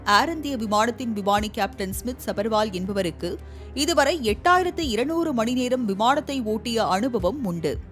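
High-voiced woman reading news over music. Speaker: news narrator. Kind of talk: scripted news narration. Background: music bed.

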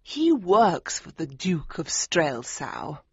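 A man reading an audiobook, voicing a polite old woman who runs a hotel, soft and courteous, in a dull lo-fi recording. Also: a little high, huge pitch swings, slow, husky, no echo, pitched above the performer's own voice, full character voice. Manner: soft, courteous, gently reproving.

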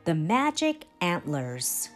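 Female children's story reader: unhurried, careful phrasing, highly varied melody, music underneath. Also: sing-song. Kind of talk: expressive read-aloud narration for young children.

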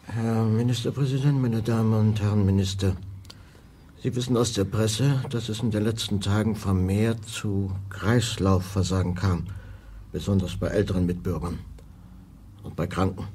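A lowish male voice of an actor as a cautious government minister in a radio drama. He is formal, measured and factual, with faint noise behind him. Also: steady tone, mellow, natural voice. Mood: grave, careful. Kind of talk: radio play acting.

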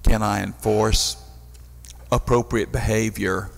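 An older man questioning staff as a council member in a formal meeting, halting and deliberate, at a desk microphone in a chamber. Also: on the low side, fairly even intonation, gravelly. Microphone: gooseneck desk microphone. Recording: reverberant meeting hall.

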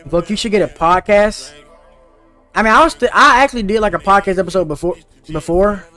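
A man's voice: sits high, a little fast, bright, forceful tone, soft background music. A teenage boy streaming a game, chatting casually and slangily with his viewers. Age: teens. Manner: slangy and laid-back, talking to chat. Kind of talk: casual live-stream chat while gaming.

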